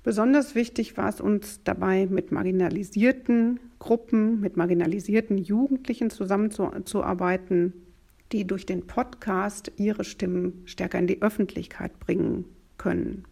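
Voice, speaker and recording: A woman who leads a project, explaining it in a measured, formal way. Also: mid-range voice, fairly even intonation, vocal fry, warm tone. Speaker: project leader.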